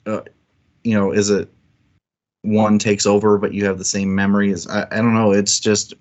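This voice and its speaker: Low-voiced man rambling casually, slightly muffled. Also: flat delivery, moderate pace, darkish tone. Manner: rambling, informal, thinks aloud. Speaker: co-host of a book club livestream. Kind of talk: casual book discussion chat.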